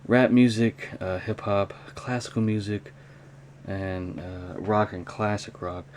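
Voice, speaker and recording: A man talking casually and unscripted in a hobby show-and-tell vlog, with a rambling, halting delivery. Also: on the low side, fairly even intonation, a little mellow. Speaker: hobby vlogger, cassette collector. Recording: clear background noise.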